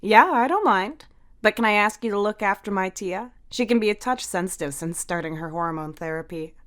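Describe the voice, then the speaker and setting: A woman's voice: mid-range voice, animated, brisk, fairly bright tone, voice pushed up, close to an everyday voice. A woman voicing a friendly, teasing mother of a teenager in an audio story, with a chatty manner.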